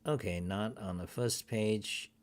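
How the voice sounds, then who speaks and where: Middle-aged male host, deep and unhurried, rambling, profane, grumbling. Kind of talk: casual screen-share talk show. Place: home desk, indoors.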